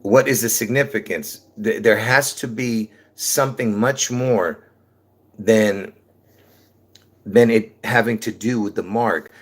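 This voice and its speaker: A man's voice: fairly low pitch, takes it slowly. Rambling man with a New York accent. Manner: repetitive, restarts often, many fillers, calls listeners folks. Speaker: livestream host. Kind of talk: rambling livestream monologue to viewers.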